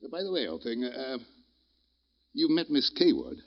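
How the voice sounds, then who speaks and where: An actor in an old radio detective drama playing a blustering, genteel schemer who sputters and stammers pompously. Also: male voice, medium pitch, big pitch swings, normal speed, creaky. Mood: pained indignation. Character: blustering, genteel captain being blackmailed, fussy schemer. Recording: muffled vintage radio recording.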